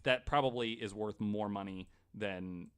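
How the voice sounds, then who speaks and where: A man's voice: lowish voice, measured pace, smooth. A man hosting a podcast in casual, rambling chat, often stammering and repeating words. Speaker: podcast host.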